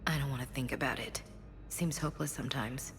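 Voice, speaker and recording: A woman playing a grieving, guarded soldier and big sister in a sci-fi game, speaking in a hush. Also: rumbling low, brisk, soft background music. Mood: subdued, sorrowful. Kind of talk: naturalistic game dialogue acting.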